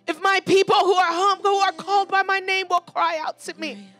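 A woman's voice: very high-pitched, steady tone, moderate pace, pushed and bright, faint music behind. A woman preacher leading fervent charismatic prayer, urgent and pleading, exhorting with repeated phrases.

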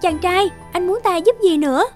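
Woman voicing a high, sweet young moon fairy. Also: moderate pace, darkish tone, soft background music. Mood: kind, generous. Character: gentle, gracious young female moon fairy. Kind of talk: children's animated fairy tale.

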